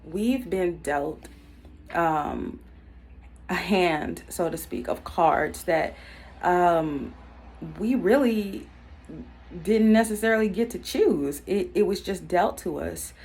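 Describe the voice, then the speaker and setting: A woman's voice: on the low side, lively intonation, slow, a little mellow, breathy edge. Earnest woman in her thirties, reflective. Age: 30s. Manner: earnest, reflective, many ums and restarts. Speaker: YouTube self-help vlogger. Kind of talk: unscripted personal talk to camera.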